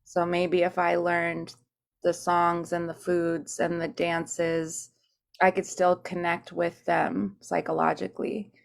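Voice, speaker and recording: Low-voiced woman with a gravelly edge, earnest. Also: monotone. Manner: earnest, searching, pauses to gather thoughts. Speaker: guest singer and teacher. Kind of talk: reflective personal account. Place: home room at a desk by a window. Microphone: video call.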